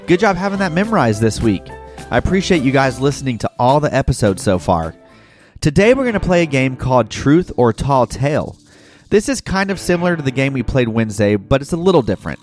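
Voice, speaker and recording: Guy hosting a kids podcast, encouraging, talks right to the kids, music playing behind him. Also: mid-range voice. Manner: encouraging, addresses young listeners directly. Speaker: host of a kids' educational podcast. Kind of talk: scripted narration and game hosting for children. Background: background music.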